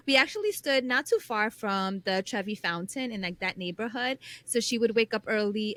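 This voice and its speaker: A woman's voice: fairly high pitch, fairly bright tone, dry recording. A middle-aged woman co-hosting a travel podcast, talking candidly and laughing at herself. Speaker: podcast co-host. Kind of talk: casual interview chat.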